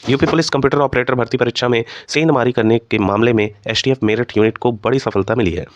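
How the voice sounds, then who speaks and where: A man with a mid-pitched voice presents an informal news and analysis update for students. He explains point by point and peppers his talk with English terms. The sound is dry, with little room echo. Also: fairly even intonation, fast, muffled recording. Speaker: host of an exam news channel.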